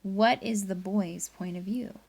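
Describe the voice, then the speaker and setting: Slow-paced woman teacher reading aloud. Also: mid-range voice, gravelly, breathy edge. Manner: expressive storybook reading, guiding questions. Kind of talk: read-aloud lesson with explanations to pupils. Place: indoors at a laptop.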